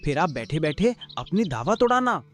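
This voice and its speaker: A man's voice: a little high, big pitch swings, moderate pace. A man doing a clever cartoon donkey, earnest and a little sly, with a slightly raised voice. Light music runs underneath. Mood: flattering. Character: clever loyal male donkey named Lucky. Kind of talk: cartoon voice acting.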